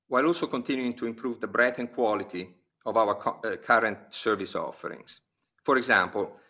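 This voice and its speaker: A man, a company executive, reading prepared remarks on an earnings call, giving figures in a measured, steady delivery with brief pauses between list items. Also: mid-range voice. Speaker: company executive presenting quarterly results. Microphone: conference call line.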